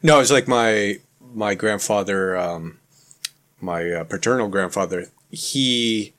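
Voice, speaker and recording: A man from Canada whose first language was Ukrainian, a guest author talking in a halting, filler-heavy way on a podcast. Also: lowish voice, fairly bright tone. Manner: halting, many fillers and restarts. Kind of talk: casual podcast conversation.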